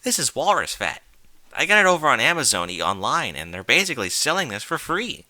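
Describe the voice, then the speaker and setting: A bold young cartoon mare, acted out by a man, boastful and eager to push her idea. The voice is very bright and forceful, swinging in pitch, in a storybook reading recorded dry. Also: big pitch swings, brisk, no echo. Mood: eager, cocky. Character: brash, excitable young female cartoon pony, boastful and bold.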